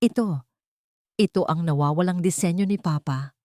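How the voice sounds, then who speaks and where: Gentle, emotional heroine voiced by a woman, dry sound. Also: lowish voice, normal speed, no echo, lightly acted. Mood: shocked whisper. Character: gentle, perceptive woman in love, easily wounded.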